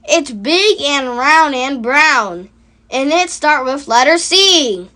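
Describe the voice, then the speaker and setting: Woman voicing an excitable high-pitched storybook monster. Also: slow, exaggerated voice. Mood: eager. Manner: broken, simple grammar. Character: greedy, excitable male cookie-loving monster.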